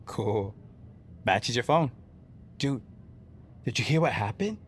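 An actor playing an excitable but easygoing male work buddy in audio fiction, casual and slangy. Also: mid-range voice, takes it slowly, slight background noise. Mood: upbeat. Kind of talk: audio drama acting.

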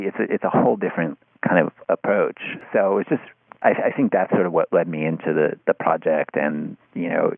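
A man's voice: sits low, gravelly, no echo. A man answering interview questions conversationally, hesitant and repeating words as he thinks aloud, over a thin, phone-like line. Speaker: interview guest, writer.

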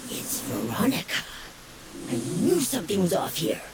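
A woman's voice: medium pitch, huge pitch swings, normal speed, creaky, background noise. A woman playing a gleeful, menacing alter ego of a teenage girl in an audio drama, sly, goading and theatrical. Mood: suspicious. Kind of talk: audio fiction drama acting.

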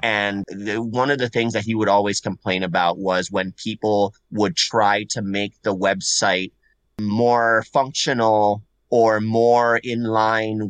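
A man's voice: fairly low pitch, fairly bright tone, no echo, rough recording. A man from Puerto Rico whose first language is Spanish, a guest in a casual podcast interview, talking in a rambling way with restarts and repeated words. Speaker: guest artist.

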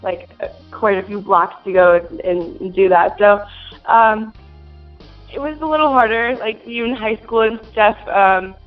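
Slow-talking young woman, hesitant. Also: medium pitch, muffled recording, faint music behind. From Minnesota. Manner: hesitant, many fillers, giggly. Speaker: interview guest. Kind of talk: casual interview answers.

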